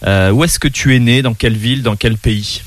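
A man's voice: medium pitch, a little fast. A man hosting a podcast interview in a room at an association's premises, asking friendly questions with many fillers. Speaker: podcast host. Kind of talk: casual interview talk.

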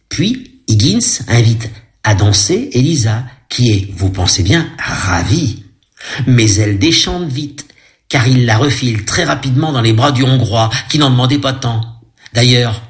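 A man describes a film aloud in a chatty, ironic way, and his pitch moves a lot. He keeps breaking off to joke with his listeners. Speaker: audio describer.

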